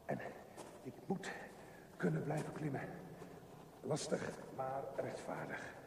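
Desperate man, halting, echoey tunnel. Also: medium pitch, vocal fry. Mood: straining, determined. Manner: halting, intense monologue. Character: desperate man struggling through a dark tunnel. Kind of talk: radio play monologue. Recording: noisy, echoey, distant radio play recording.

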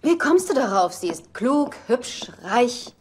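A woman's voice: on the high side, big pitch swings, takes it slowly. An actress playing an upbeat, sociable girlfriend in a German-dubbed sitcom. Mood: hopeful. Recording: faintly noisy TV soundtrack rip.

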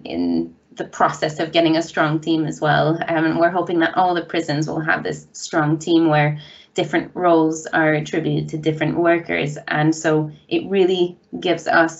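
A low-voiced woman with a gravelly, fry-edged tone answers as a social worker on a video call. She talks thoughtfully and restarts her phrases. The sound is dull and muffled. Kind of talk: panel answer.

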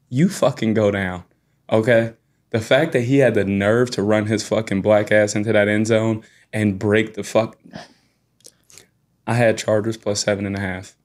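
Low-voiced man ranting about sports bets. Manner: profane, animated ranting. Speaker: podcast co-host. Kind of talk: casual sports banter. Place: small podcast studio. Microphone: boom mic with headphones.

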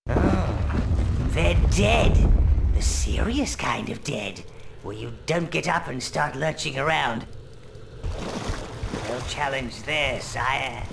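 Creaky old goblin minion. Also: male voice, medium pitch, animated, slow pace, pauses often, background noise. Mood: advising, wry. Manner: theatrical, relishing, servile. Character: old fawning gleeful goblin minion advisor to a dark lord. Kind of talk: video game character narration. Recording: loud music behind.

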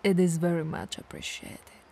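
A woman playing a teasing, dominant but caring vampire in an ASMR audio roleplay, breathy and gravelly, often dropping to whispers, coaxing and playfully condescending. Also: fairly low pitch, flat delivery, moderate pace, darkish tone, slight background noise. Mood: savoring, satisfied.

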